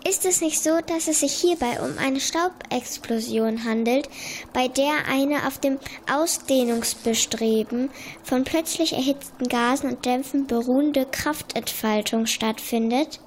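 A woman's voice: high-pitched, unhurried, slight background noise. A woman voicing a precocious little child who lectures in bookish, precise scientific jargon in an audio drama. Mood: know-it-all. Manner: bookish, precise, know-it-all.

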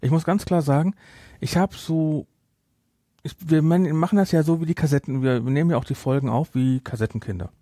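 Middle-aged man with a slightly creaky voice. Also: fairly high pitch, brisk, dark tone, dry recording, clean studio sound. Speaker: podcast host. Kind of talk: casual podcast chat.

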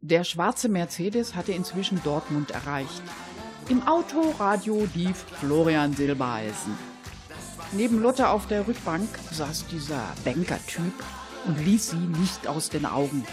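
A woman's voice: fairly low pitch, sing-song, unhurried, over music. A woman reading scripted narration for a crime story.

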